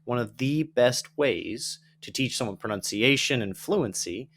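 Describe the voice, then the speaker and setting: A man's voice: medium pitch, animated, measured pace, gravelly, a bit bright. A man hosting a podcast, making his points in casual conversation with a reflective "right?". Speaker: podcast host. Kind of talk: casual interview talk.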